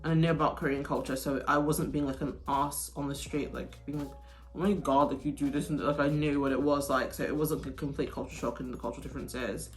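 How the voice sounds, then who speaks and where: A young woman from the UK talks through a casual Q&A while doing her makeup, rambling and filling her sentences with "like." Her voice sits low and deep for a woman. Soft music plays quietly behind her. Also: fairly even intonation, a little fast. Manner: chatty, lots of "like" and restarts. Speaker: vlogger, teacher in Korea. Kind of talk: casual get-ready-with-me Q&A.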